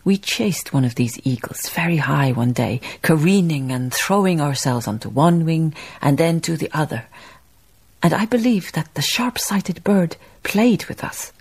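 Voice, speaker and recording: A reflective woman memoirist narrates a radio play. Her voice is deep for a woman and husky, with a gravelly creak. She speaks lyrically and deliberately, lingering on poetic phrases. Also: expressive, darkish tone, exaggerated voice. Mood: rapt, nostalgic. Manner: measured, lyrical, savouring phrases. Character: reflective, poetic woman memoirist recalling colonial farm life.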